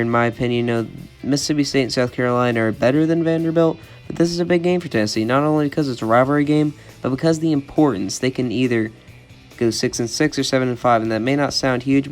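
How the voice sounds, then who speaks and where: A young man with a cold, sounding congested, giving college football predictions in an opinionated, repetitive way as a channel host. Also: medium pitch. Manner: monotone, opinionated, repeats "I think".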